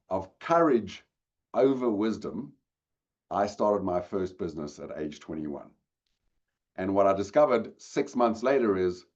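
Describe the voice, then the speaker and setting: Man, South African accent, low voice, dull lo-fi webinar sound, engaging presenter. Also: a little mellow. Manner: engaging, addresses audience directly. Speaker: guest speaker. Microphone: video call.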